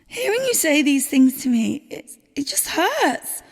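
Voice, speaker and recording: Woman acting high-voiced pleading girlfriend, echoing. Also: big pitch swings, a little slow, vocal fry, full character voice. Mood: pleading, hurt. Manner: pleading, emotional, defensive. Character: pleading, wounded girlfriend clinging to her relationship. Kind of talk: audio drama dialogue. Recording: long reverberant hall sound.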